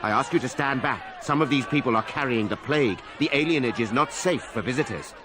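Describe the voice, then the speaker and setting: An actor voicing a haughty mage guard in a video game, formal and measured. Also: male voice, medium pitch, a crowd in the background, noisy background, natural voice. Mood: cool, haughty.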